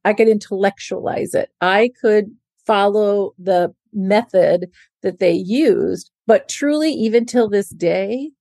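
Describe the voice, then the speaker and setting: A woman's voice: mid-range voice, slow, creaky, warm tone, dry recording, studio quality. Earnest middle-aged woman coaching listeners. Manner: earnest, encouraging, direct address to listener. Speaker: podcast host and leadership advocate. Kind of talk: motivational podcast talk.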